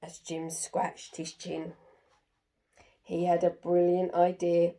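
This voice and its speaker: Slow-paced woman reading a children's story. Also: fairly low pitch, monotone, mellow.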